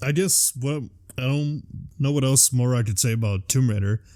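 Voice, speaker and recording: A man's voice: mid-range voice, normal speed, warm tone, no room sound, clean studio sound. A man co-hosting a casual, unscripted chat, talking in a loose, rambling way and sounding tired. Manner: rambling, loosely strung thoughts. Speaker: podcast co-host. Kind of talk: casual unscripted chat about films and games.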